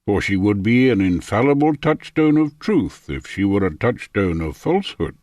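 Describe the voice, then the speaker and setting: A man narrating a philosophical audiobook in a formal, measured manner. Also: lowish voice, sing-song, darkish tone, no echo. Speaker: audiobook reader.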